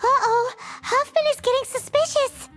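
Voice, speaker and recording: A woman voicing a tiny, excitable childlike fairy companion in a video game, squeaky and chirpy. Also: moderate pace, faint music behind. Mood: panicked. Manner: chirpy, exclamatory, refers to self by name. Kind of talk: English video game voice acting.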